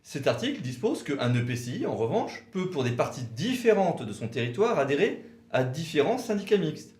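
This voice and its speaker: A man giving a didactic, precise legal explanation in short, measured phrases. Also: on the high side, very animated, darkish tone. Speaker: legal commentator for a law firm, co-presenter. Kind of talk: explanatory legal lecture, semi-scripted. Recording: roomy, echoing sound.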